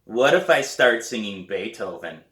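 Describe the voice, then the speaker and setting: A man voicing an excitable, insistent young boy, a pestering little brother in a storybook reading. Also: on the low side, measured pace, roomy sound. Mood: stubborn. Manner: loud, insistent, playful.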